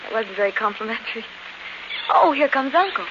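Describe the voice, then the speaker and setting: Nervous young lady played by an actress, high, expressive voice, well-bred, 1940s radio acting, muffled recording. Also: brisk, noisy background, natural voice. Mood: polite, uneasy. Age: young adult. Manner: anxious, polite, breathlessly dramatic.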